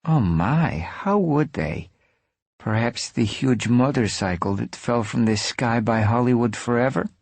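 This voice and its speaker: A man voicing a playful, flirtatious woman zombie hunter with a breathy Czech accent, in an audiobook. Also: mid-range voice, lively intonation, a little slow, a little mellow, dry recording, pitched above the performer's own voice. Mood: teasing. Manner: playful, teasing.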